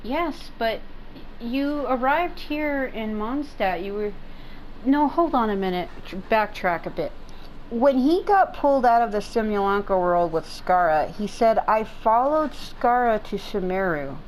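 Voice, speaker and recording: A woman's voice: on the high side, lively intonation, measured pace, rough recording. A woman giving casual, rambling gameplay commentary, often repeating herself for emphasis. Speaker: gaming video host. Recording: faint background noise and game ambience.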